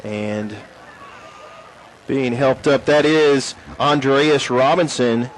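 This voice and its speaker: A man calling a high school football game play by play from the stadium booth. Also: drawn-out words, slight background noise, rough recording. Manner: rising excitement on big plays. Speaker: play-by-play announcer. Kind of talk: sports play-by-play commentary. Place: press box at an outdoor stadium.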